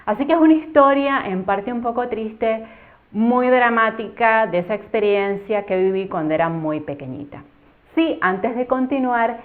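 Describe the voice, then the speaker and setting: A Cuban woman in her thirties, telling a childhood story with earnest, expressive delivery as a YouTube educator. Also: medium pitch. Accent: Cuban Spanish. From Cuba. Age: 30s. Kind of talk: storytime vlog. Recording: phone-like narrow band.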